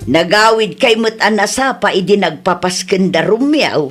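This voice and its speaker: A woman's voice: mid-range voice, lively intonation, normal speed, over loud music, lightly acted. A woman with an Ilocano accent playing an outspoken, thrifty village woman in a comedy radio drama. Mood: disapproving.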